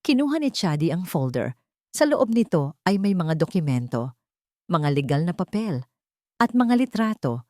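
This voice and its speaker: Animated woman narrating, slightly low. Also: normal speed, dry recording, clean studio sound. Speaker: story narrator. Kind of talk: dramatic scripted narration.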